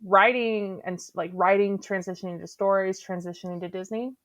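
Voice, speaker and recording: A woman's voice: mid-range voice, steady tone, brisk. A woman hosting a casual podcast chat, often chiming in with agreement and laughing along. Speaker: podcast host. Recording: slightly dull lo-fi audio.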